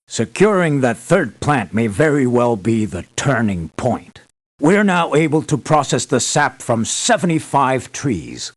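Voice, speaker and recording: Earnest, lively-pitched male mission leader. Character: earnest, measured male leader financing an island operation. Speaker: mission briefer. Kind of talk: scripted video game voice acting.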